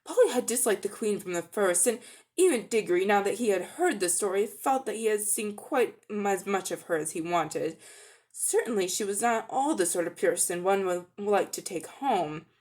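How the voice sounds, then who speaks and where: A woman's voice: medium pitch, animated, moderate pace, darkish tone. A woman reading scripted storybook narration in a dramatic reading style.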